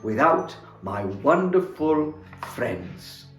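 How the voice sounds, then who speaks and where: A man's voice: on the high side, sing-song, very slow, exaggerated voice. A man voicing a fussy, kind-hearted female storybook mouse who exclaims and frets in a motherly way. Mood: cheerful. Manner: exclamatory, fretting. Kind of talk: storybook character voice. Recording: roomy echo, faint music.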